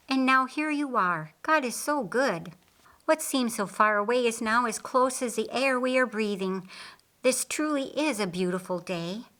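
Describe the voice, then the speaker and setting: A woman's voice: fairly high pitch, a bit bright. A woman voicing a caring, frank and loyal female friend in a story, reassuring and earnest.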